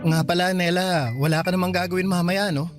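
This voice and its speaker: Guy doing a friendly young man, talks quick, soft music behind. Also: high-pitched, steady tone, lightly acted. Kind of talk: radio drama acting.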